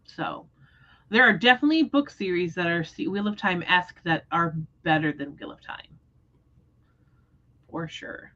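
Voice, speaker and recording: A woman's voice: fairly low pitch, lively intonation, normal speed, pauses often, a bit bright, lo-fi sound. A woman hosting a book readalong livestream, talking casually about books. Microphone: livestream microphone.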